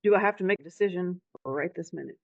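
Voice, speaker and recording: A woman's voice: lowish voice, fast talker, gravelly, no echo. Hesitant woman on a muffled call. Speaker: prospective client, part-time real estate agent. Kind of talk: casual conversation on a sales call. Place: online video call.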